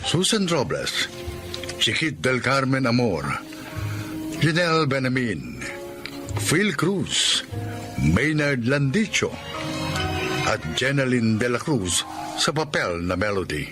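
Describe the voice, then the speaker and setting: A man reading scripted narration and announcements for a radio drama in a solemn, deliberate manner. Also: medium pitch, very animated, music in the background.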